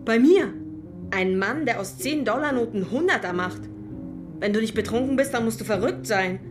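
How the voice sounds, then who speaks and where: An actress playing a brash, sharp-tongued, scornful woman in a radio drama. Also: on the high side, animated, moderate pace, over music, close to an everyday voice.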